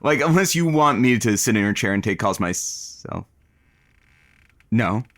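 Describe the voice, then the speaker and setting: A man's voice: mid-range voice, lively intonation, measured pace, a bit bright. A man playing a harried, wisecracking male radio producer in a comedic audio drama, anxious and sarcastic. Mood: flustered, exasperated. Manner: sardonic, flustered.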